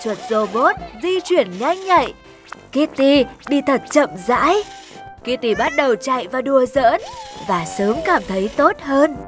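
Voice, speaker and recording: A woman's voice: sits high, expressive, measured pace. A woman narrator reading scripted, rhyming narration for a children's cartoon. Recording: music behind the voice.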